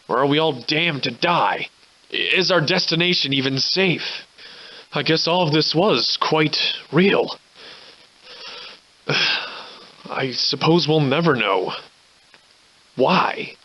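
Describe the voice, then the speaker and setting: Victorian gentleman adventurer, wry, weary, foul-mouthed, florid old-fashioned speech, theatrical game acting. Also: fairly high pitch, highs cut off.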